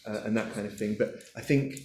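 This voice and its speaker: A man's voice: on the low side, fairly even intonation, fast, darkish tone, slight background noise. A man, the author at his book launch, answering audience questions hesitantly with many fillers as he thinks aloud. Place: lecture room with an audience. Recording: echoey room.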